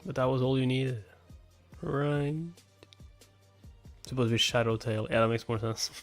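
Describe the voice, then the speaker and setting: A man with a mid-pitched voice reads a story aloud as a live reaction host, with music behind him. He is expressive, moving between narration and casual, incredulous comments. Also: unhurried. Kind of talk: live reaction read-along with casual asides. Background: background music.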